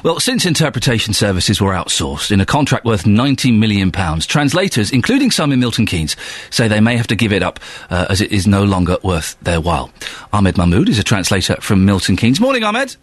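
A male radio presenter who reads news links briskly and questions guests informally. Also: fairly low pitch, very animated, breathy edge, no echo.

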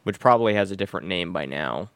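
A man's voice: low-pitched, lively intonation, fast, a bit bright, no echo. A man co-hosting a podcast in casual, irreverent banter, joking and ranting with plenty of profanity and sarcasm. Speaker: podcast co-host.

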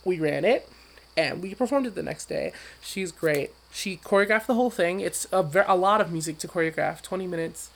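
A man who sings professionally, talking as an interview guest with a high voice and an animated, storytelling manner. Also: brisk, creaky. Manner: animated storyteller, acts out quotes with big leaps in pitch. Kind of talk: conversational interview.

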